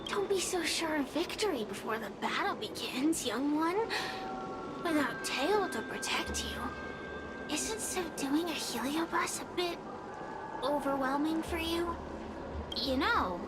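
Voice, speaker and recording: A woman's voice: high-pitched, heavy background noise. A woman voicing an arrogant, seductive ghostly spirit in a video game, taunting and imperious in manner.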